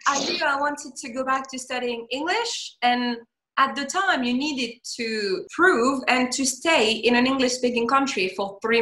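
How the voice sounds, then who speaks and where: A woman who teaches English and is not a native speaker of it, telling her story in a brisk, matter-of-fact way in a casual chat. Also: fairly high pitch, bright, forceful tone.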